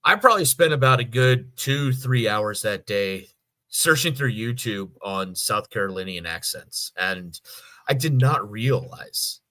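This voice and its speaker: A middle-aged man with a fairly low, bright voice chats casually as a guest voice actor. He tells his stories in an animated, conversational way, recorded dry and close. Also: unhurried, no echo.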